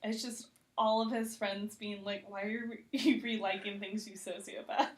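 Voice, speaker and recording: A woman in casual, animated pop-culture chat, telling things informally and quick to laugh, as a podcast guest. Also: mid-range voice. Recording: roomy echo around the voice.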